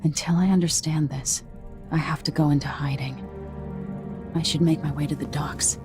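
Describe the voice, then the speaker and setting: A woman's voice: low-pitched, steady tone, normal speed, over music, lightly acted. A woman playing a resolute young deposed empress in a video game, speaking in terse, brooding self-narration. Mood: grim resolve.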